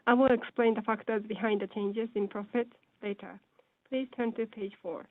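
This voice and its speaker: A woman giving a formal, scripted financial presentation in a measured, businesslike way over a conference call. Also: a little high, steady tone, no echo. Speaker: presenter on an earnings call, speaking as or interpreting for a company executive. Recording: phone-like narrow-band conference call line.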